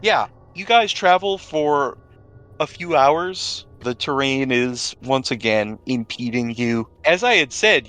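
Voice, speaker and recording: Man, game master, bright voice, tabletop narration, conversational, dramatic pauses. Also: medium pitch.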